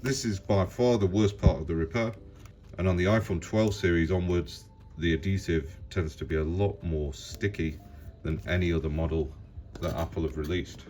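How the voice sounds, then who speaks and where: A man's voice: low-pitched, fairly even intonation, normal speed, darkish tone, soft background music. A man hosting a repair tutorial, narrating step by step in a plain, practical, informal way.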